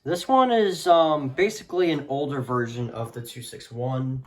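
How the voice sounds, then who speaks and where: A man's voice: mid-range voice, normal speed. A man casually presenting a collection in a hesitant, rambling way that often trails off. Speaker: video creator showing a radio collection. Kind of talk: casual collection showcase chat.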